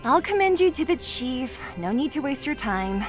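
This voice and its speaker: A spirited young woman fighter from an anime-style game, with a high, lively voice. She speaks with earnest confidence over faint music. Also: moderate pace. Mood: determined.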